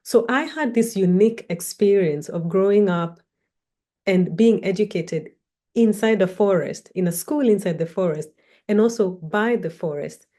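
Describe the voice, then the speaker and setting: A woman from Kenya speaks in a measured, reflective way, introducing herself as a researcher and storyteller in a personal talk. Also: medium pitch, warm tone. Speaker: academic researcher and guest speaker.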